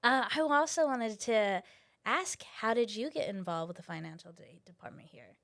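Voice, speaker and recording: A woman's voice: fairly high pitch, sing-song, moderate pace, creaky. A woman hosting a casual interview, chatty and encouraging toward her guest. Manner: chatty and encouraging, laughs often. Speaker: interview host.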